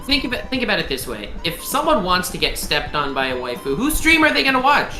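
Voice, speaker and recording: A man hosting a casual podcast, joking and heckling his co-hosts in an animated way. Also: sits high, quick pace. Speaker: podcast host. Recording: echoey room with music underneath.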